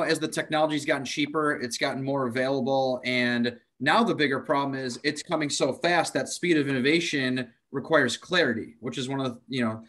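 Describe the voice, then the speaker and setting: A man's voice: medium pitch, fairly bright tone. A man speaking informally as a panelist in a discussion held over a video call.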